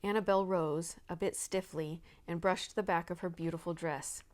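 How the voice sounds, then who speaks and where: A woman's voice: lowish voice, fairly even intonation, normal speed, a little mellow. A woman narrating a children's novel aloud, acting out the dialogue expressively. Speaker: storybook reader.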